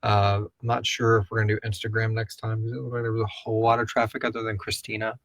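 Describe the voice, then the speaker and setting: Male podcast co-host, lowish voice, informal and jokey, rambling live Q&A delivery, very dry recording. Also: completely flat delivery, quick pace, fairly bright tone, dry recording. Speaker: co-host and producer of an audio drama podcast. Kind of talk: casual live Q&A chat with listener questions read aloud.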